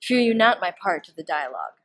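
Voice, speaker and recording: A teenage girl playing a deferential gentlewoman attendant who joins in a plot, in a student Shakespeare performance. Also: on the low side, wildly swooping pitch, fast talker. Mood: conspiratorial. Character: Ursula, young gentlewoman attendant, deferential co-conspirator.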